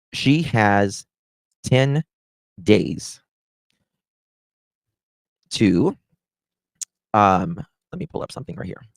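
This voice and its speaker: A man giving casual livestream commentary as host, chatty and halting, repeating himself for emphasis. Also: lowish voice, dry recording, poor audio. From Southern United States.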